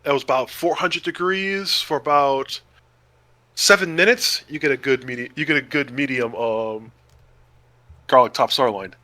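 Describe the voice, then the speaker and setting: A man's voice: mid-range voice, moderate pace, sharp tone, no echo. A man chatting casually and enthusiastically as a podcast co-host. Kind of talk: casual chat about food.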